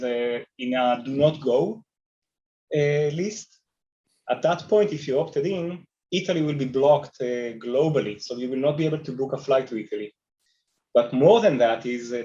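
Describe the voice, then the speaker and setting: A man from Israel with an Israeli accent, telling stories conversationally as an animated panel guest. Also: mid-range voice, highs cut off. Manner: animated talker, moves a lot while speaking. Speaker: panel guest, travel technology company leader. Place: remote video call on camera.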